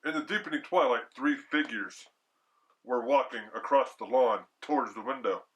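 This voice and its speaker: Bright-voiced man theatrically reading a story. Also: medium pitch, normal speed, low-quality recording. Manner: theatrical, slangy, chatty asides. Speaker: storyteller, horror show host. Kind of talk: dramatic story reading with casual ad-lib asides.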